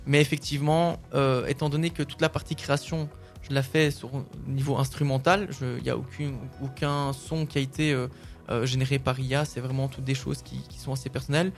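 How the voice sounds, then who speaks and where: A man's voice: mid-range voice, little pitch movement, a little fast, faint music behind. A French-speaking man, a singer-songwriter, answering interview questions casually with many fillers and restarts.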